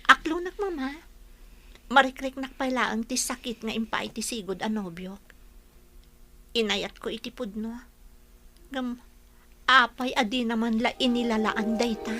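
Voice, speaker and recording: A woman's voice: a little high, unhurried, long pauses, pushed and bright. A woman acting a young working daughter, heartbroken and indignant, in an Ilocano radio drama with an Ilocano Filipino accent. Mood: wistful. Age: young adult.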